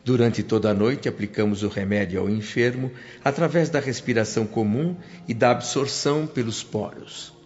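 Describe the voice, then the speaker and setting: Long reverb and soft music surround an actor as an earnest Brazilian male spirit telling his own story. He narrates the radio drama solemnly and deliberately in literary phrasing, on a slightly muffled recording. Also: medium pitch, natural voice. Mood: solemn, admiring. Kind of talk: scripted first-person radio drama narration. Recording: dull lo-fi audio, long hall reverb. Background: background music.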